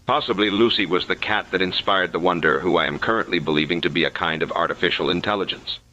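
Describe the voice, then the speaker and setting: A man playing a methodical, sceptical investigator who records case notes in a measured, analytical way, as scripted analog horror narration. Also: deep voice, bright, forceful tone. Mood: thoughtful, analytical. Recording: dull lo-fi sound, faint noise.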